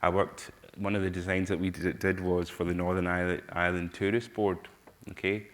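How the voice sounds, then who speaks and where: A middle-aged man from Scotland speaks with a broad Glaswegian accent. His voice sits very low, and he talks earnestly to a conference audience. He stops and restarts his sentences often. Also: level tone. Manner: earnest, halting, many restarts. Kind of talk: personal storytelling talk.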